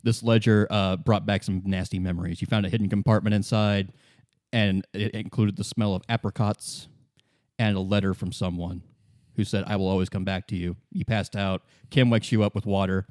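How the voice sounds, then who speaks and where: A man's voice: lowish voice, steady tone, normal speed, a little mellow, no echo, studio quality. A man running a tabletop game as game master, narrating casually and often restarting his sentences.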